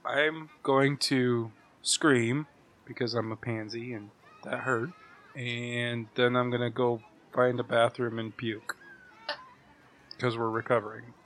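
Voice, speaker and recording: A man chats as a player in a tabletop game. His voice sits at a middle pitch with a bright, forward tone, and his delivery is casual and jokey. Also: little pitch movement, takes it slowly, slight background noise. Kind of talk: casual joking table chat.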